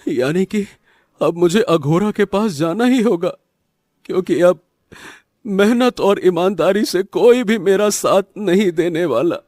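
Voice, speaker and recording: A man's voice: high-pitched, animated, measured pace, darkish tone, no echo. A man voicing a helpless, honest poor young farmer in a cartoon story, pleading and wailing with stammered repeats. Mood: bewildered. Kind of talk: Hindi cartoon moral story acting.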